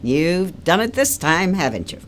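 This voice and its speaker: A woman's voice: on the low side, very animated, measured pace, slight background noise. An actress playing a bitter older mother-in-law in an audio drama, sarcastic and nagging. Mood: scornful, accusing.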